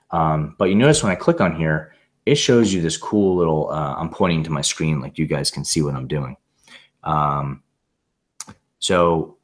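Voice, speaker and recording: A man recovering from laryngitis, giving a casual, rambling tutorial over a video call with many fillers and restarts. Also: a bass voice. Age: adult with a young son. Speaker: presenter demonstrating software. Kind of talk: casual screen-share tutorial.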